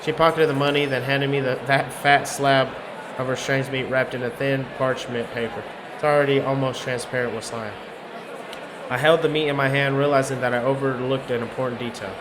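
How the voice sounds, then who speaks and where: A man's voice: medium pitch, fairly even intonation, a little fast. A man reading a visual novel's first-person narration aloud in a dry, wry storytelling way. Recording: noisy urban ambience behind.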